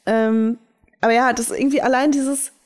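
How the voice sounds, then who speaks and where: A woman's voice: on the high side, fairly even intonation, fairly quick, a little mellow. A young woman chatting casually as a podcast co-host, often laughing. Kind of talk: casual chat.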